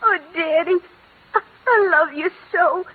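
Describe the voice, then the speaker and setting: A woman's voice: very high-pitched, unhurried. A woman playing a sly little girl, sweet to her father and defiant to her stepmother, in 1940s radio acting. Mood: tearful. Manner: cries on cue, wheedling. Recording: tinny old radio broadcast.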